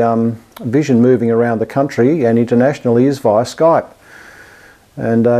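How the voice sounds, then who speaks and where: A middle-aged Australian man speaks with a dark, relaxed tone at an ordinary male pitch. He talks casually and without a script, like a hobbyist giving an update, often hesitating and rambling in a modest, self-deprecating manner. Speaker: amateur radio and television hobbyist presenting an update. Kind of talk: casual, unscripted video update to camera.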